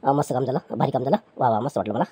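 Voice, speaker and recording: A woman's voice: extremely low pitch, racing, mellow, husky, no echo, full character voice. A woman voicing a poor, meek male chair seller who pleads and placates with stammering restarts. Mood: placating.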